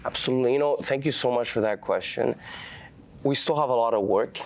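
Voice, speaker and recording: A young man whose first language is Farsi, giving earnest, halting interview answers full of restarts. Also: mid-range voice, vocal fry. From Canada. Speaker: activist guest.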